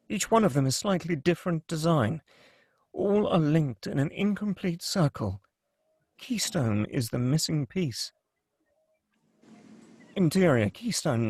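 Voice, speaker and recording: Script narrator, male, wry stage directions, dead dry sound, slightly high voice. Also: dry recording. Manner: wry, reads directions with asides. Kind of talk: table read of a screenplay.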